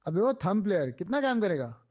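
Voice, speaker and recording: A man with an Indian accent fires off short gamer taunts. His voice is high and very animated in pitch, with a cheeky, slangy, teasing delivery. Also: fairly quick. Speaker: gamer voicing in-game voice pack phrases. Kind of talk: short taunting game callouts in street slang.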